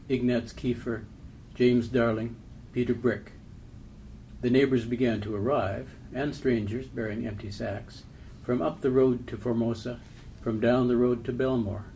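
A man recites a written poem at an average male pitch. A faint noise sits behind the voice. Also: darkish tone, rough recording. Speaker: poetry reader. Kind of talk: literary poem reading.

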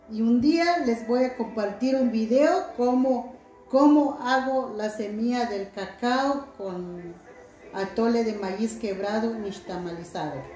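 Middle-aged lady vlogging at home, mellow voice a bit high, talks from the heart, echoey room w faint music. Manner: heartfelt, chatty, reads out viewer comments. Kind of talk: casual chat to viewers. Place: indoors at home, by a window.

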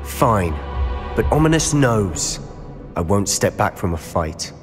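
An actor playing a defiant, proud teenage wizard in a game cutscene, insistent and emphatic. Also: male voice, on the low side, big pitch swings, slow. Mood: determined. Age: teens. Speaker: game character. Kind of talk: video game cutscene acting. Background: music.

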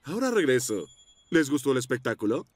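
A woman's voice: extremely low pitch, very animated, a little fast, darkish tone, dry recording, exaggerated voice. A woman voicing a cool, showy stunt motorcycle star in a children's cartoon, breezy and self-satisfied. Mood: smug, breezy. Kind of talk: dubbed children's cartoon acting.

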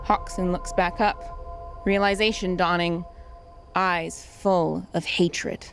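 A woman's voice: on the low side, fairly even intonation, slow pace, over music. A woman host giving scripted dramatic narration of a story.

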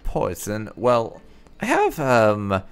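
Male gaming host, low and very animated, unhurried theatrical delivery. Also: clear, resonant tone, faint music behind. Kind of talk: roleplay playthrough commentary, reading out character replies.

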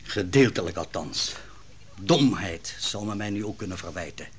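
A man's voice: low voice, animated, normal speed, a little hiss or hum. An actor playing a worried, brooding man reporting on the trial in an old radio drama.

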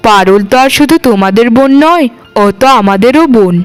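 Woman acting a clear-voiced, sensible eldest sister-in-law. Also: a little high, lively intonation, a little fast, faint music behind, lightly acted. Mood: pleased, reassuring. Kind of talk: scripted cartoon dialogue.

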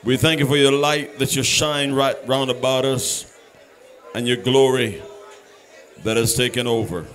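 A man's voice: mid-range voice, fairly even intonation, measured pace, a faint crowd. A man leading a church service, singing hymn lines and praying fervently with the congregation. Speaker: church leader leading worship. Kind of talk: sung worship and spoken prayer. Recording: live service with loud musical accompaniment. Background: instrumental music.

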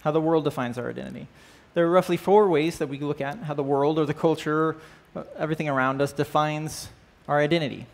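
A man's voice: a little high, steady tone, fast, creaky, hardly any pauses. A man, teaching a church class in a casual, conversational way, often checking in with listeners. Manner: conversational, asks the class questions, frequent "right?" and "uh". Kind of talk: church class lesson with opening prayer. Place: church stage with band gear, indoors.

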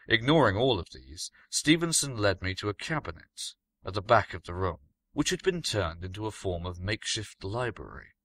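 A man narrates gothic fiction in a bright, forward voice with lively intonation. His delivery is measured and dramatic, recorded dry and close. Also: on the low side, dry recording. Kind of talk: scripted story reading, gothic first-person fiction.

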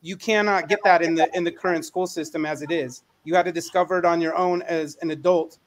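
Higher-voiced middle-aged man, emphatic co-host. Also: steady tone, brisk. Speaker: co-host, educator. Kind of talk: conversational panel hosting. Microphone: video call.

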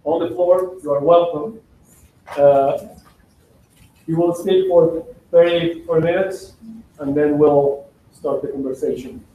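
A male moderator speaks calmly and slowly as he introduces the session. His voice is dark, and he sounds far away in a roomy hall with faint noise behind him. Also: on the high side. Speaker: event moderator. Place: lecture hall with audience. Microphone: far camera in audience. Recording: echoey room from far off.

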